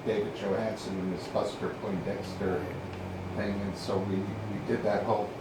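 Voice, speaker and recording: A man's voice: on the low side, normal speed. Storytelling man in a very echoey room. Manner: animated anecdotal storytelling. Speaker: horn player guest. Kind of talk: casual chat. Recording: very echoey room, distant mic, background noise.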